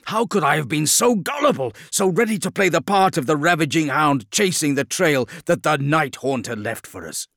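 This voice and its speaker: A proud and savage commander performed by a male narrator, growling and imperious. The delivery is forceful and swings widely, as in a dramatic science fiction audiobook, with a dry, close sound. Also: on the low side, expressive, slow, no room sound, full character voice. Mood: furious.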